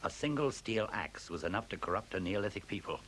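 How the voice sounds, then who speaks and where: A low-voiced, embittered professor in a 1970s science fiction radio drama. He speaks gravely and rhetorically with a sardonic edge, as a doomsayer would, over a light hiss. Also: lively intonation, fairly quick, fairly bright tone. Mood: bitter, foreboding.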